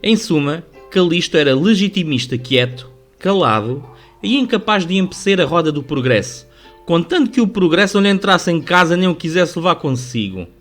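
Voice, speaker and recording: A man with a European Portuguese accent giving formal, measured, scripted literary narration for a radio drama. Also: a little high, expressive, fairly bright tone. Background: music under the voice.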